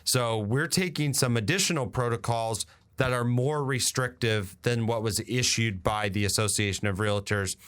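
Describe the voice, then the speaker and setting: A man's voice: medium pitch, normal speed, a bit bright, clear, resonant tone. An American man hosting a radio show, explaining industry updates conversationally with emphatic repetition. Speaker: radio show host and real estate agent.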